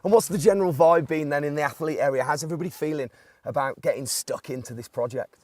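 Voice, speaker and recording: A man's voice: a little high, quick pace, a little mellow, soft background music. A man presenting a live ski event from a studio, chatty and upbeat, leading the questions. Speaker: studio host.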